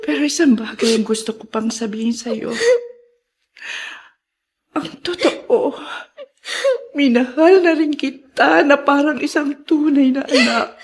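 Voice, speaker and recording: A woman's voice: sits high, a little slow, pauses often. An actress playing an emotional, anguished mother in a Filipino radio drama. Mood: sorrowful farewell. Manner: dramatic, anguished, breaking into sobs. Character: guilt-ridden ailing mother, emotional and remorseful.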